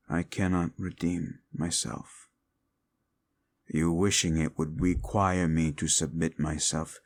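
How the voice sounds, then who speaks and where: A man playing a haughty, seductive fallen-angel demon in an intimate audio roleplay, with a gravelly voice and archly formal, taunting delivery. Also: full character voice. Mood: exasperated. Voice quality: gravelly, creaky low voice. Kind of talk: intimate second-person audio roleplay acting.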